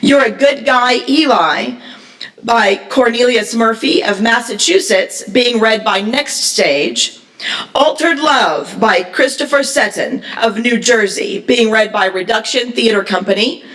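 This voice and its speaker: A woman with a fairly high voice reads stage directions and announcements at a live event. She sounds some distance from the mic in a roomy, echoing hall. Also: unhurried, a bit bright. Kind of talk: live event announcing and scripted screenplay narration. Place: live festival table read, echoing room. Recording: echoey hall from far off.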